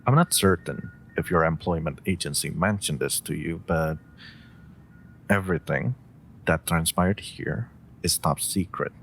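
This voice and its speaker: A man's voice: a little slow, creaky, fairly bright tone, heavily acted. A man playing a polite, theatrical supervillain in a scripted audio roleplay, speaking courteously with hesitant asides and faint menace. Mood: serious, warning. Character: polite, theatrical male supervillain interviewing a job applicant. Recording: faint background ambience and rumble.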